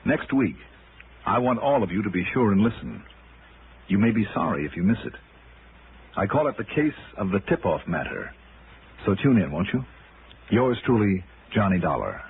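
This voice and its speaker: An American actor playing a wry, self-assured insurance investigator who narrates casually in a 1960s radio detective drama. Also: male voice, lowish voice, sing-song, moderate pace, long pauses. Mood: inviting. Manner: casual, wry, clipped first-person narration. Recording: tinny, noisy old radio broadcast.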